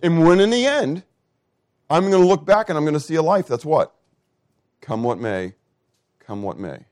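A man in his fifties preaching a sermon, asking the congregation questions and swinging between loud, emphatic lines and soft asides. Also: a little high, animated, normal speed, no echo, studio quality. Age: 50s.

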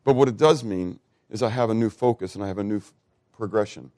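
A man in his fifties preaching a sermon, asking the congregation questions and swinging between loud, emphatic lines and soft asides. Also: fairly low pitch, quick pace, no echo, clean studio sound. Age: 50s.

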